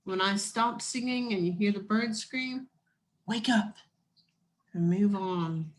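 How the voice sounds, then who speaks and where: Slow, deliberate woman reading a story. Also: mid-range voice. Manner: deliberate, solemn. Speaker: storybook reader. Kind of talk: expressive scripted children's story reading.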